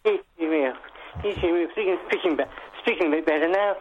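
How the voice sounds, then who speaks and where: A man calling a radio show, speaking haltingly and repeating himself. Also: on the high side. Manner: halting, repetitive, struggling to get words out. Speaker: caller. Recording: noisy, muffled phone line.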